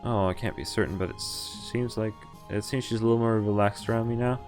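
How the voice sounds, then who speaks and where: A low-voiced man plays through a visual novel on stream and reads its text aloud in a plain voice. He drops quick casual asides and small laughs between lines. Soft game music plays quietly behind him. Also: steady tone. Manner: plain reading voice broken by quick reactions and chuckles. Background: faint game music.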